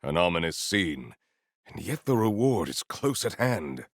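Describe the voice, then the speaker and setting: A male actor voicing a proud, potent warrior in a video game, with formal, grandiose, deadpan delivery. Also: deep voice, a little slow, dry recording, studio quality, full character voice. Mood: stoic, formal. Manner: formal, stilted, grandiose diction. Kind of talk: scripted video game character lines.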